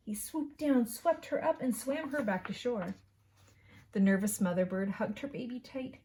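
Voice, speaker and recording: A woman, a school principal, reading a children's story aloud in an expressive, unhurried way. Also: on the high side, a little hiss or hum.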